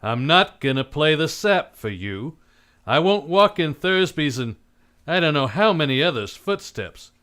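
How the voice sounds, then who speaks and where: A man's voice: on the high side, big pitch swings, unhurried, fairly bright tone. A man playing a cynical, unsentimental private detective in a live old-time radio drama, with terse, blunt, slangy tough-guy delivery. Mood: bitter, resolute.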